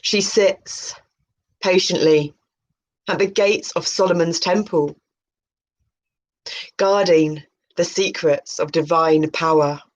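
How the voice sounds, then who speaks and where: A woman's voice: lowish voice, steady tone, slow, long pauses. A woman hosting a video, reading aloud about tarot with playful, chatty spiritual asides. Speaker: video host. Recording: muffled, dull lo-fi sound.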